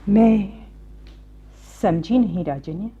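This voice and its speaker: A devout young princess held captive in a radio play. The voice is soft, mellow and breathy, pleading in slow, formal phrases. The recording sounds a little dull. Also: female voice, mid-range voice. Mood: firm, puzzled. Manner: formal, plaintive, deliberate. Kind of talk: slow, theatrical Hindi radio drama acting.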